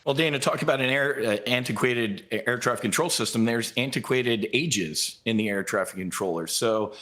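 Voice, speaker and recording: A man's voice: medium pitch, moderate pace, fairly bright tone. A middle-aged American man giving blunt, colloquial commentary as a pilot guest in a live news interview.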